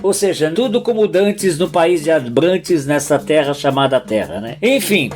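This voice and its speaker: A man's voice: fairly high pitch, lively intonation, moderate pace, music in the background. A man with a Brazilian accent hosting a podcast, chatting casually and asking playful, teasing questions. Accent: Brazilian Portuguese. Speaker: podcast host.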